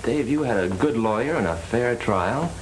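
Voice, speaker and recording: A man plays a plain-spoken American friend, loyal yet doubtful, in a 1930s film. His pitch is average. The old soundtrack is echoey with slight hiss. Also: lively intonation, normal speed, close to an everyday voice. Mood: sympathetic, reluctant.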